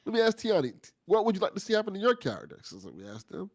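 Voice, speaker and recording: Man, panel host, fairly high pitch, animated delivery, casual questioning. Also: fast. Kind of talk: casual chat, posing questions.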